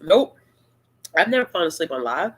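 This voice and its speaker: A woman hosting a casual live-stream Q&A, talking in a relaxed, rambling and playful way. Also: low voice, fairly quick, slightly rough. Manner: laid-back, rambling, playful. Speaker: booktuber host of a live Q&A.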